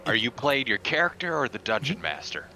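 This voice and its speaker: A man's voice: mid-range voice, big pitch swings, fairly quick, a loud crowd. A man playing a tabletop game, brash and combative, arguing in character and joking. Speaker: player.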